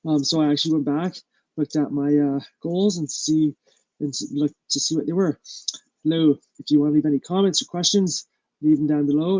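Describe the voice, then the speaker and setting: Man, stuffy nose, fairly high voice, dry sound, halting casual live-stream talk full of fillers. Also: darkish tone, no echo, poor audio. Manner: halting, full of ums and uhs. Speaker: small business owner hosting a live stream.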